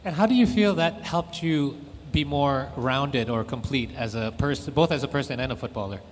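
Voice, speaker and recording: A man's voice: medium pitch, moderate pace, long reverb tail, slight background noise. A man hosting an interview and interpreting, asking questions hesitantly with many fillers and restarts.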